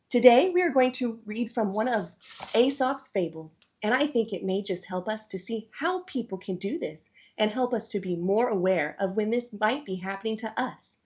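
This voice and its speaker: A woman's voice: mid-range voice, darkish tone. A woman hosting a read-aloud show for children, presenting from a script and addressing young listeners directly.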